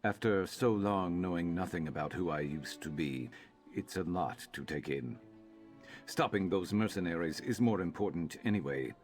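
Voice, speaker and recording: Man voicing a very deep, guarded lizardfolk mercenary. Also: moderate pace, soft background music, natural voice. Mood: guarded, resolute. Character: gruff male lizardfolk mercenary companion, guarded and world-weary. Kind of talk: scripted video game dialogue.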